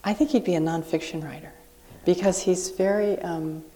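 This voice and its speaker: A woman's voice: on the low side, steady tone, normal speed, mellow. Woman guest on a live panel, reverberant hall. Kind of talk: casual panel talk.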